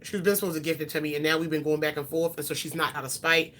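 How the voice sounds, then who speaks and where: Chatty low-voiced woman in her twenties. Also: fairly even intonation, quick pace, fairly bright tone, a little hiss or hum. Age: 20s. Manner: chatty, rambling, with frequent ums and self-corrections. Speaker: beauty vlogger. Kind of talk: casual chat answering viewer questions.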